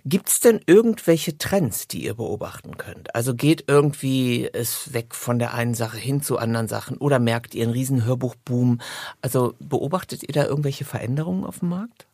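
A man's voice: mid-range voice, expressive, moderate pace, creaky, a little mellow, no echo. A male podcast host asks questions in a conversational interview style. His voice sits in a middle register, recorded dry with no room sound.

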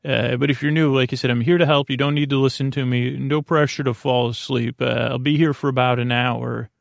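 A man with a creaky voice hosting a sleep podcast, delivering a meandering, halting bedtime monologue that trails off into tangents. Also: mid-range voice, steady tone, no room sound, clean studio sound. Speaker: podcast host.

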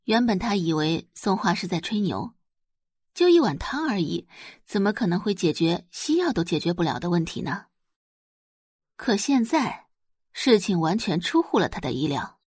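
A woman's voice: on the low side, sing-song, normal speed, darkish tone, breathy edge, dry recording, studio quality. A woman reading scripted novel narration in a dramatic storytelling style. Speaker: audiobook narrator.

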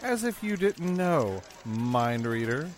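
An actor playing a cocky newspaperman who needles with drawn-out sarcasm in a radio drama. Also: mid-range voice, sing-song, a little hiss or hum. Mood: teasing. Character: teasing wisecracking newspaperman, sardonic and cocky. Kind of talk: 1940s radio drama acting.

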